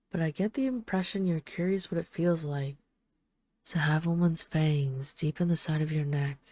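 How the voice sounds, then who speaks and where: Low, mellow flirtatious vampire lady played by a woman. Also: dry recording, close to an everyday voice. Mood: tender, seductive. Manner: teasing, coaxing, deliberate with lingering pauses. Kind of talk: intimate audio roleplay addressed to the listener.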